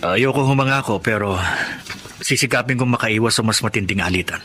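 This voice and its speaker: An actor playing a troubled, frustrated husband in a radio drama, complaining yet respectful. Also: male voice, mid-range voice, fairly quick, sharp tone, slight background noise, lightly acted. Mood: resigned, troubled. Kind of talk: Filipino radio drama acting.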